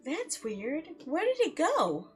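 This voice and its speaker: A woman game streamer reading a visual novel aloud in a theatrical, expressive way, with playful asides. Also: sits high, slow pace. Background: background music.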